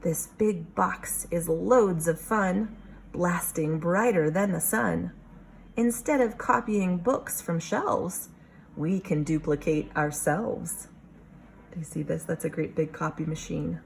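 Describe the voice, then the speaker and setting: A woman teacher reading a picture book aloud to young children, expressive, pausing to question and explain. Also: lowish voice, vocal fry, slight background noise, poor audio.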